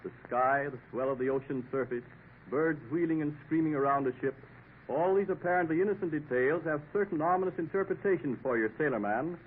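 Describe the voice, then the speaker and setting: An American man announcing and narrating a 1950s radio drama in a formal, dramatic storytelling manner. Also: a little high. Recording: tinny, hissy 1950s radio recording.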